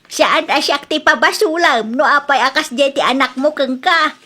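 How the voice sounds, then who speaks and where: A proud, sharp-tongued mother-in-law scolds in an Ilocano accent, as a woman acts her out for a radio drama. Her voice sits high and rings bright and edgy. She talks with an indignant, scolding bite. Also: moderate pace. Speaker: mother-in-law in a family quarrel.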